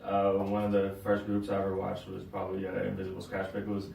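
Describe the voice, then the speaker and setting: A man's voice: deep voice, completely flat delivery, fast, vocal fry, darkish tone, a little hiss or hum. A young man from San Diego, a DJ being interviewed, talking in a laid-back, slangy way with lots of fillers. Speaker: guest DJ. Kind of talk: casual interview. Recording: roomy sound, mic at a distance, faint ambience.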